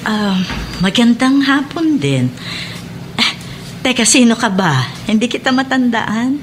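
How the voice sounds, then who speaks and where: Casting: kindly middle-aged Filipino teacher, high voice, motherly and proud, radio drama, faint noise behind. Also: very animated, measured pace. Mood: surprised, curious. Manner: emotional, motherly, affirming. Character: kindly female schoolteacher, warmly proud of former pupil. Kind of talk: Philippine radio drama acting.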